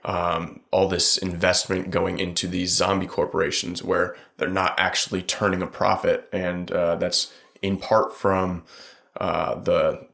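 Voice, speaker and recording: Casting: young man, deep voice, relaxed co-host banter, close mic. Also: measured pace, gravelly. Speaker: podcast co-host. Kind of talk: casual chat. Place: small room indoors. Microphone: studio mic on stand, close.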